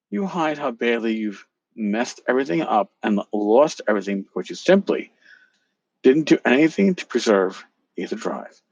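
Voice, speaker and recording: A man hosting a podcast, talking casually and reading aloud in an emphatic, theatrical, sometimes halting way, on a slightly lo-fi recording. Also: mid-range voice, no room sound. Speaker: podcast host. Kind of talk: casual talk with dramatic reading of emails aloud.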